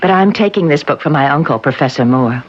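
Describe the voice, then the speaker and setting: A woman's voice: deep voice, lively intonation, fast talker. Demure, coy young woman. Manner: polite, gently coy. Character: demure, polite young beauty, professor's niece. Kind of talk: old-time radio drama acting. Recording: dull, noisy old radio recording.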